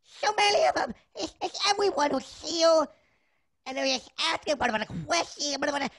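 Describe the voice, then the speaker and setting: Woman voicing a gushing, childlike little chef character, slow and swooping. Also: on the high side, very animated, fairly bright tone, slightly rough, exaggerated voice. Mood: tearful, adoring. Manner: earnest, effusive, repeats words with feeling. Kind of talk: in-character live chat.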